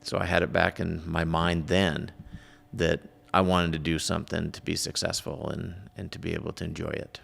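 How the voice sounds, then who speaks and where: A man's voice: deep voice, little pitch movement, moderate pace, creaky, fairly bright tone, big-room sound. A middle-aged man answering interview questions casually, recalling his career in a plainspoken, matter-of-fact way. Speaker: entrepreneur, interview guest.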